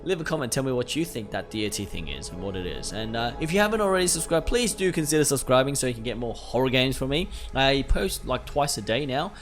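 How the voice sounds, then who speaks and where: Expressive man hosting a gaming video. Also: medium pitch, fast, hardly any pauses. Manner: expressive, reacting aloud. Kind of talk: casual let's play commentary, reading game text aloud. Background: game music.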